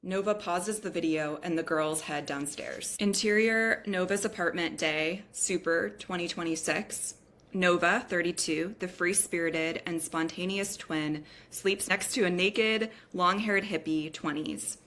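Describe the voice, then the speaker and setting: A woman's voice: lowish voice, unhurried, a bit bright. A woman narrating screenplay stage directions at a scripted table read.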